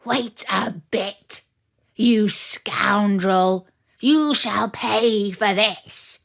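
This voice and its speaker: A woman voicing a sly, scheming wolf in a fairy tale, coaxing in a slow, breathy, conspiratorial whisper. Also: mid-range voice, a bit bright, exaggerated voice. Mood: vengeful. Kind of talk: fairy-tale storybook reading.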